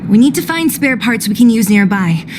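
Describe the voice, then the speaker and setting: Woman as a tough, high-voiced young female fighter. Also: animated, normal speed, heavy background noise, close to an everyday voice. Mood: confident, impatient. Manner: self-assured, blunt, sighing impatience. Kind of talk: video game character acting. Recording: loud music behind the voice.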